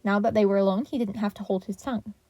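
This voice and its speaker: A woman reading fan fiction aloud as an expressive podfic narrator. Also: mid-range voice, fast, no echo.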